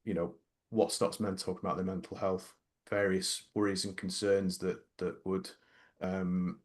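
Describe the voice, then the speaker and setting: A man's voice: sits low, little pitch movement, moderate pace. A middle-aged man co-hosting a casual workplace podcast. He speaks hesitantly, with many repeated words and restarts. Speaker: podcast co-host.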